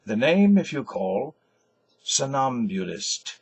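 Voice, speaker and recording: A man's voice: mid-range voice, big pitch swings, slow pace, a little mellow, slightly rough, full character voice. An older man voicing an anxious, solicitous man minding a friend, in a dramatic novel reading with a raised, hesitant voice. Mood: calling out.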